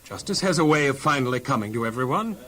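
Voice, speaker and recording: An actor playing a gentle, earnest, devout prisoner in a children's audio drama, polite and sincere. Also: male voice, mid-range voice, lively intonation, quick pace. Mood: earnest persuasion.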